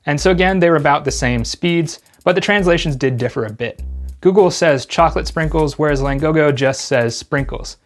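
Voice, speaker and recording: A man hosting a tech review, calmly explaining test results in scripted narration. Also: fairly high pitch, fairly quick, faint music behind. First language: English. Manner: calm, explanatory, even-handed. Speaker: gadget reviewer host.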